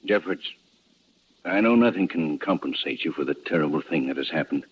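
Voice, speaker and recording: An actor playing a dignified army general in a 1950s radio drama, speaking in formal, oratorical phrases. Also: male voice, rumbling low, lively intonation, a little fast, a little hiss or hum. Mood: solemn. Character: solemn, dignified army general offering condolences. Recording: tinny, band-limited vintage radio broadcast.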